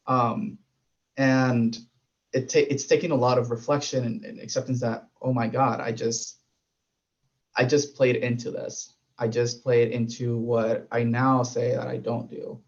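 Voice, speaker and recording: Flat-voiced, reflective Spanish-native man. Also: medium pitch, muffled recording. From Puerto Rico. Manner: thoughtful, hesitant with many fillers. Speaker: panelist. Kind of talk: personal reflection in a panel discussion.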